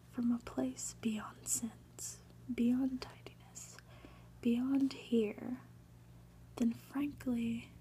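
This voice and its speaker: Whispering woman reading a story, slow and hushed. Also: fairly high pitch, rough recording. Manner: hushed, deliberate, breathy whispering. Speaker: ASMR reader.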